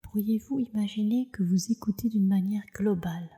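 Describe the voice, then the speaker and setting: A woman leading a guided relaxation meditation as a yoga nidra teacher, in a soft, soothing, measured way with breathy phrase endings. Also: medium pitch.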